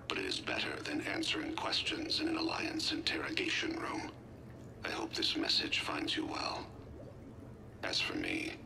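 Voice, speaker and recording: Over clear background noise comes an ailing alien ex-assassin from a video game, heavily gravelled and breathy. He talks formally and with wry reflection, his delivery swinging widely in pitch. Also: heavily acted. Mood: reflective. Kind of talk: video game acting, recorded personal message.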